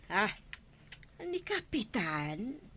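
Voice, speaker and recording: A practical village neighbour in a radio comedy drama. The voice swings up and down with a bright edge, placating and expressive, in an Ilocano accent. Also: female voice, mid-range voice, drawn-out words, slightly rough, heavily acted. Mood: curious, reassuring.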